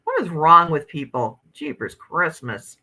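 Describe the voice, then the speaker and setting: A woman's voice: sits low, big pitch swings, a little slow, sharp tone. A woman in her fifties hosting a casual livestream, chatting and laughing as she reads out viewer comments. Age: 50s. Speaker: livestream host.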